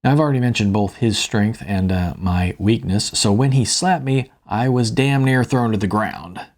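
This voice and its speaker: A man's voice: fairly low pitch, normal speed, a little mellow. A man reading his own novel aloud in a wry, conversational storytelling manner. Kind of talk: audiobook narration with spoken asides.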